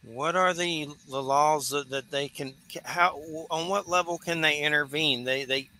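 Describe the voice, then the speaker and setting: A man interviewing a guest, asking curious, halting questions. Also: on the high side, bright, forceful tone.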